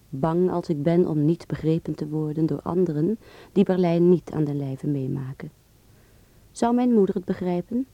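A woman's voice: fairly low pitch, dark tone, dry recording, close to an everyday voice. An actress playing a woman with a Dutch accent who reads out an intimate letter in a radio drama.

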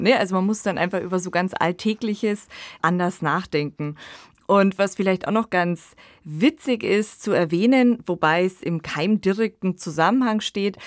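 A woman's voice: lowish voice, no echo, studio quality. A woman hosting a podcast, discussing radio plays in a conversational way. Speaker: podcast host.

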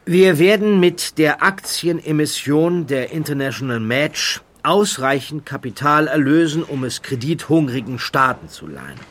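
A man's voice: on the high side, animated, normal speed, few pauses, a bit bright, pitched above the performer's own voice. A man voicing a persuasive, self-assured 1920s financier in an emphatic, declaiming manner, as part of a narrated story. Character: brilliant, persuasive 1920s financier pitching his scheme.

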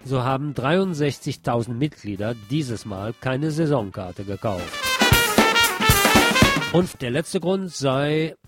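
Guy reading the news, steady, music playing under him. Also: medium pitch, slow pace. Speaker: news presenter. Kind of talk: read radio news report.

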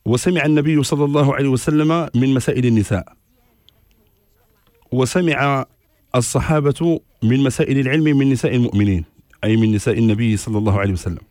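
A man delivers religious rulings on a radio show, quick and authoritative, quoting texts one after another. His voice is dry and close to the mic. He sounds sure and steady throughout. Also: medium pitch, dry recording. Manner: measured, authoritative, cites scripture and scholars. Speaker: religious scholar answering questions.

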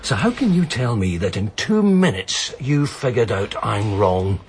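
An actor in a radio drama playing a despairing Scottish man, weary and withdrawn, voice somewhat low. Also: sing-song, measured pace, a little hiss or hum. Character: despairing Scottish man after a failed suicide.

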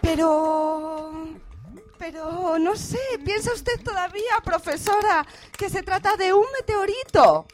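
A woman playing a flustered, excitable female field reporter who stammers and repeats herself in a parody radio broadcast. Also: very high voice, takes it slowly. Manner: breathless exclamations, stammering, exasperated repetition. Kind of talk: radio theatre parody of live news report.

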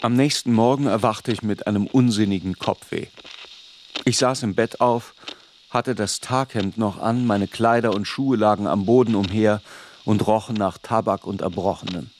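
A man's voice: lowish voice, moderate pace, slight background noise, lightly acted. An actor narrating as a reflective, melancholy man looking back on his youth. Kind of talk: scripted first-person literary narration.

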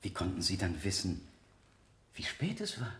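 Male examiner, radio drama. Methodical, insistent, courteous, with animated pitch in a roomy acoustic. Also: exaggerated voice. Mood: insistent, pressing. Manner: deliberate, insistent, formally polite questioning. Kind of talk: 1970 German radio play acting.

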